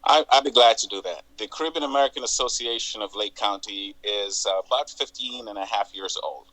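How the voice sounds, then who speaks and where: Jamaican guy in his later years, lowish pitch, bright edge, talks in a steady halting way. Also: low-quality recording. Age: older. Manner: measured, halting storytelling with restarts. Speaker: guest, community association president. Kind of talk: interview on local TV.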